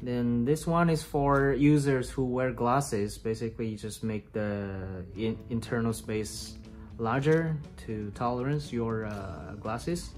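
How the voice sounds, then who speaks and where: Halting man talking at an unhurried pace. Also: mid-range voice, music in the background. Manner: halting, searching for words. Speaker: vlogger. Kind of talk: casual unboxing and first-use demonstration. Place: room at home.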